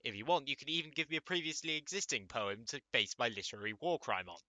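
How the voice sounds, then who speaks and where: A man's voice: medium pitch, quick pace, sharp tone, no room sound, lo-fi sound. A man hosting a scripted comedic commentary in a sarcastic, mock-exasperated, sweary way. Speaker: host of an anime commentary video channel. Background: music under the voice.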